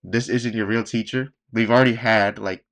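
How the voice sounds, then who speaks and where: A man with a fairly low voice hosts a reaction video. He comments in an understated, nonchalant way, keeping it conversational and casual. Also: fairly even intonation, normal speed, fairly bright tone.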